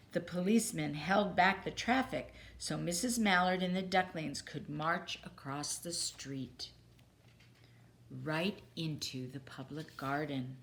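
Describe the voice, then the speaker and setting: A woman reads a children's story aloud in a slightly low voice. Her pace is very unhurried, and her phrasing is deliberate and expressive. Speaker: storybook reader. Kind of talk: children's picture book read-aloud.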